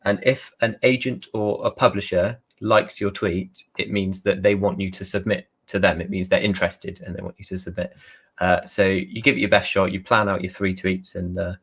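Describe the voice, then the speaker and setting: A man from Somerset with a softened West Country English accent, chatting casually as an interview guest, self-deprecating and trying to sound well spoken. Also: low voice, flat delivery, normal speed, husky. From Somerset, England. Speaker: guest author.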